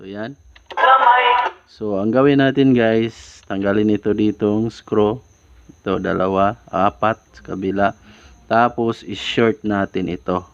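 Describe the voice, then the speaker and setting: A man giving an informal hands-on tutorial, repeating himself and often addressing his viewers. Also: lowish voice. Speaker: DIY electronics tutorial host. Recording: dull lo-fi recording with loud music. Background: music.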